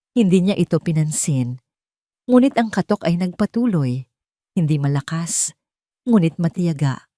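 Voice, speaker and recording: A woman with a Filipino accent giving a dramatic scripted story narration in solemn, deliberate phrases. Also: low-pitched, a little mellow, dry recording, clean studio sound.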